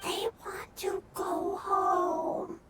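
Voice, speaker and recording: A woman voicing a small, innocent fungus creature in an anime-style game, speaking in simple, halting, broken phrases. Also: very high voice, level tone, full character voice. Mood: earnest. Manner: halting broken speech, very slow. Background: prominent music.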